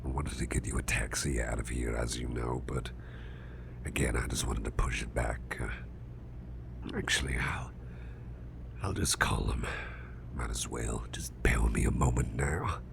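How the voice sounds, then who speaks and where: Casting: male actor as grumpy, fretful boss for ASMR roleplay, rock-bottom growly half-whisper, slow halting mutter, repeats himself. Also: fairly even intonation, background noise, heavily acted. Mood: flustered, thinking. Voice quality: gravelly, growly, very deep, breathy half-whisper. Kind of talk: ASMR roleplay, spoken softly to the listener.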